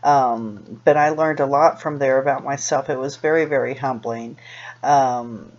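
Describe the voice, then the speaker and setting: A woman's voice: rumbling low, steady tone, measured pace, darkish tone, lo-fi sound. A middle-aged American woman, a native Spanish speaker raised in Los Angeles, chatting casually and a little hesitantly to camera in a rambling way. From Los Angeles, USA, born in Peru. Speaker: video blogger. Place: small room indoors.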